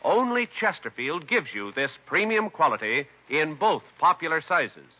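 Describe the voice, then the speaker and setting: A muffled vintage broadcast of a male commercial announcer. His fairly high voice rises and falls in a very lively, emphatic sales read from the 1950s. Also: moderate pace. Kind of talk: 1950s radio advertising read. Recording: dull vintage radio recording.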